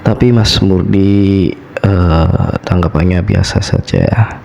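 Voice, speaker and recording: A man with a Javanese accent hosting and telling horror stories radio-style, his voice slightly hoarse from a cold. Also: sits low, slow, darkish tone, muffled recording, slight background noise. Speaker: host of a horror story show.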